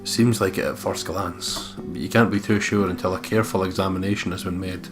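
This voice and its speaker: Low-voiced man reading game narration. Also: fairly even intonation, a little fast. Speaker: let's play co-host. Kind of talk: reading visual novel narration aloud with casual commentary. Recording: music clearly behind the voice.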